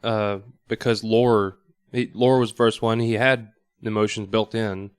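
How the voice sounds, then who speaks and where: A man's voice: fairly low pitch, little pitch movement, a little slow, clear, resonant tone, no echo. A man on a podcast panel, talking informally and explaining things through examples. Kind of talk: casual panel discussion. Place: studio.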